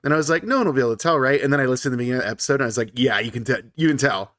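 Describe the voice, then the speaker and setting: A man's voice: mid-range voice, very fast, a bit bright, no echo, studio quality. A man co-hosting a podcast, congested from a cold, joking and laughing easily in casual chat. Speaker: podcast co-host.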